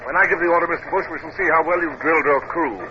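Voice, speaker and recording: An actor playing a stern, irritable naval captain in a formal, clipped 1950s radio drama manner. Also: male voice, fairly high pitch, rapid-fire, crowd noise, close to an everyday voice. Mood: commanding. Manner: formal, clipped, exasperated, commanding. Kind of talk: 1950s radio drama acting with first-person narration. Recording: muffled, very noisy old 1950s radio recording.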